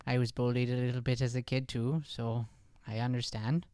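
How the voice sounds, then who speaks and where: An actor playing a meek, gentle Irish boy, shy and hesitant, in an improvised radio comedy. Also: medium pitch, flat delivery, normal speed, clean tone, dry recording, studio quality, natural voice. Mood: bashful.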